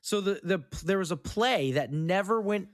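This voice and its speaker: A man's voice: sits high, animated, moderate pace, no room sound, studio quality. A man hosting a casual, joking film discussion and reading out trivia. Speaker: podcast host reading trivia.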